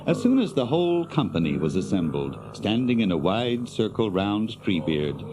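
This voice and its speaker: A man's voice: on the low side, big pitch swings, moderate pace, background noise. A man narrating a scripted fantasy story in a dramatic storytelling style. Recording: musical score behind narration.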